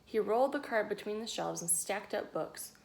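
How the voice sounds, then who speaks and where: A woman reading a picture book aloud to young children, expressively, pausing to ask them questions and add her own asides. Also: mid-range voice, expressive, creaky. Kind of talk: read-aloud storytelling with asides to young listeners.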